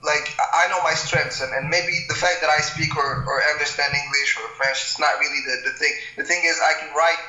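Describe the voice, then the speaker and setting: A man's voice: a little high, fairly even intonation, pushed and bright, roomy sound, poor audio. A young man from Morocco, a rapper whose first language is Arabic, talking casually in an interview and often repeating words as he goes. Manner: halting, many repeated words. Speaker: rapper guest.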